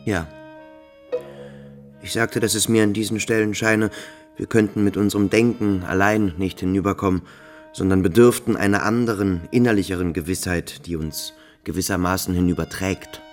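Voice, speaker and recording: Radio play casting: a man as thoughtful student searching for words, notably deep, earnest and inward. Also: fairly even intonation, normal speed, soft background music, close to an everyday voice. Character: earnest, introspective young male boarding-school pupil.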